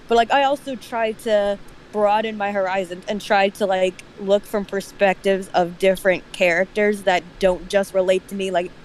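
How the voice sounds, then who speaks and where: A woman's voice: mid-range voice, poor audio. A woman in a casual stream discussion, conversational and opinionated, sometimes acting out imagined dialogue. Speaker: stream participant. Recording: faint background noise.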